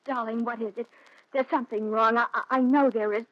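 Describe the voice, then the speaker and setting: Woman acting a tender, high-voiced radio fiancée. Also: steady tone, a little fast, no room sound, lightly acted. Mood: concerned. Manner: earnest, affectionate. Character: tender, devoted, worried young fiancée. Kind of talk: 1940s radio drama acting. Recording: muffled 1940s radio recording.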